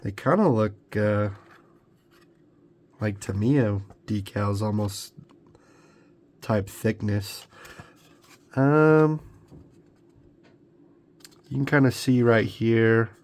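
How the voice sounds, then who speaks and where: A man's voice: on the low side, very slow, long pauses. A young man with a cold, sounding congested, chatting casually through an unboxing at his hobby bench with plenty of ums and restarts. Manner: relaxed, rambling, lots of ums and restarts. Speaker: scale model builder. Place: home hobby workbench.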